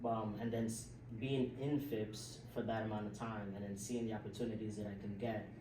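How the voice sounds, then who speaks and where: A man's voice: lowish voice, brisk, far from the mic. Chatty young man, native Spanish speaker. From South Bronx, New York. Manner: relaxed, chatty, many "like" fillers, laughs often. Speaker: interview guest, healthcare worker. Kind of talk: casual interview answers. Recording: echoey room, voice a bit off-mic, noisy.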